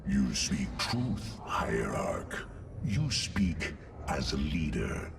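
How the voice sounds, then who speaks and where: A man playing an earnest robotic alien warrior in a video game, speaking formally and respectfully in a gravelly, synthetic voice. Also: very deep voice, huge pitch swings, drawn-out words, noisy background, full character voice. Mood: solemn respect. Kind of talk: cinematic video game acting. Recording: distant, long reverb, music behind.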